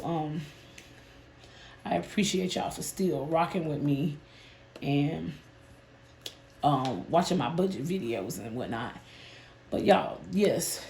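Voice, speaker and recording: A woman, hoarse and a bit nasal from a sinus illness, chatting casually with her viewers about her budget. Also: fairly low pitch, warm tone, roomy sound, slight background noise. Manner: chatty, addresses viewers as "y'all". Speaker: budgeting channel host.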